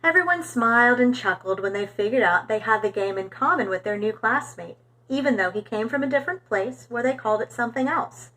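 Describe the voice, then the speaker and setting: A woman reading a storybook aloud for young children in an expressive, animated storytelling manner. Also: fairly high pitch, a little fast, few pauses, a little hiss or hum, low-quality recording.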